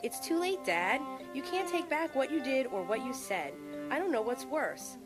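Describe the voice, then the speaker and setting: Young woman as a defiant teenage daughter, sarcastic, lively voice, music behind. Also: high-pitched, normal speed. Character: defiant angry teenage girl, rebellious.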